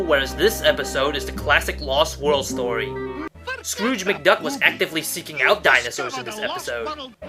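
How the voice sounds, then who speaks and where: A man hosting and narrating a scripted top ten countdown. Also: on the high side. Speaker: host of a top ten list show. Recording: music behind the voice. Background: music.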